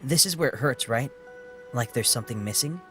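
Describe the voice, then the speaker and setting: A man playing a gentle, cheerful, patient young savior in an audio drama, with a kindly, reassuring manner. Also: mid-range voice, expressive, brisk, close to an everyday voice. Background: music.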